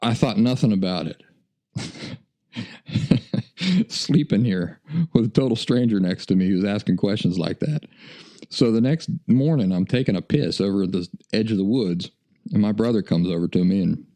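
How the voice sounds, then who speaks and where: A man's voice: fairly low pitch, moderate pace, long pauses, mellow. An American man hosting a podcast, telling stories in a folksy, self-deprecating way. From United States. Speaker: podcast host. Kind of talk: casual storytelling monologue.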